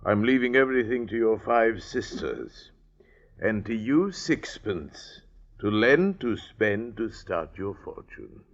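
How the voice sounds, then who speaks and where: Stern, low-voiced wealthy father. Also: very animated, heavily acted. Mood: solemn. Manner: measured, dry, formal archaic speech. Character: stern, wealthy, skeptical father of a grown son. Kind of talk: 1950s radio drama acting. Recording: dull old 1950s radio recording.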